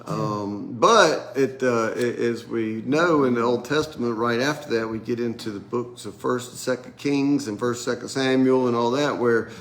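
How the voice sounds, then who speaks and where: A middle-aged American pastor leading a casual Bible study around a table, nasal and congested from a sinus infection, talking in a halting way with many restarts. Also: male voice, mid-range voice. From United States. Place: room with a group at a table.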